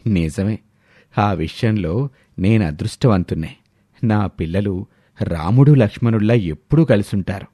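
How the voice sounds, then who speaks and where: A man voicing a contented, proud elderly farming father in a story, boastful and unhurried. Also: lowish voice, warm tone, breathy edge, no room sound, studio quality, voice pushed down.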